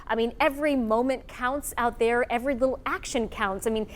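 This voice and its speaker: A woman's voice: on the high side, steady tone, brisk. A woman with an American accent presenting and interviewing as a broadcast news anchor.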